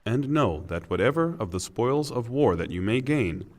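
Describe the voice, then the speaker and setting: A man giving a solemn, scripted reading of translated scripture with formal, deliberate phrasing. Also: medium pitch, lively intonation. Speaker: translation reader.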